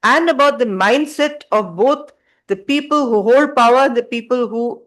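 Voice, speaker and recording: A man's voice: very high-pitched, normal speed, a bit bright. A man on a panel at a public event, earnestly telling an anecdote and quoting what others said. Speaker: panel speaker at a seminar event.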